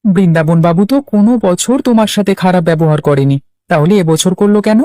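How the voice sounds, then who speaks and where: A man's voice: sits high, fairly quick, warm tone, dry recording, studio quality, close to an everyday voice. A man voicing an earnest, polite young village lad in a cartoon, helpful and concerned. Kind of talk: Bangla cartoon voice acting.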